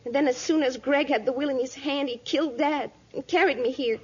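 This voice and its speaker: A woman's voice: high voice, fairly quick, lightly acted. A woman playing an earnest, distressed young planter's daughter in breathless, emotional 1950s radio drama style. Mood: distressed, grieving. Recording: dull vintage 1950s radio recording.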